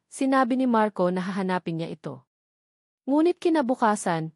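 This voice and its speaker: A woman's voice: mid-range voice, darkish tone, dry recording, studio quality. A woman with a Filipino accent reads a scripted romantic drama as a story narrator.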